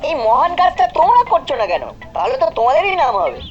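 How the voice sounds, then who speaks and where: A woman's voice: sits high, huge pitch swings, fast talker. A woman voicing a whiny, food-loving ten-year-old boy in a cartoon. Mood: questioning. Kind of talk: exaggerated cartoon voice acting. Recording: dull band-limited sound, faint music.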